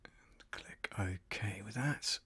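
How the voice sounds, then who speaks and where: A man's voice: fairly low pitch, drawn-out words, fairly bright tone, slightly rough, no echo, whispered. A middle-aged man in casual live-stream commentary, muttering to himself as he works at a desk microphone. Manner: muttering to himself while working. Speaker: voiceover producer and streamer. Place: small indoor studio at a desk. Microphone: desk microphone close up.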